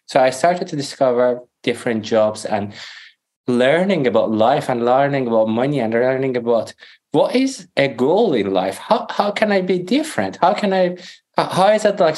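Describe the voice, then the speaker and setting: A man with a Persian accent, a native Farsi speaker, talking as a podcast guest in earnest, halting English. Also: mid-range voice, big pitch swings, darkish tone. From Iran. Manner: earnest, halting, searching for words. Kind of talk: casual interview talk.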